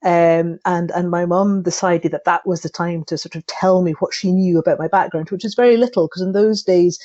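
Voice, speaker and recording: A Scottish woman telling a long personal story as a podcast guest, in an animated anecdotal way with many restarts and bursts of laughter. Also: on the low side, brisk, lo-fi sound. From Scotland.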